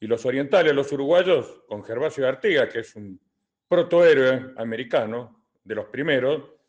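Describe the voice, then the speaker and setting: Older male commentator, Argentine accent, mid-pitched voice; explains deliberately like a lecturer, repeating points for emphasis. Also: animated, lo-fi sound. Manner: didactic, deliberate, emphatic with repetition. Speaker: retired colonel and political commentator. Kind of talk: spoken political commentary answering listener questions.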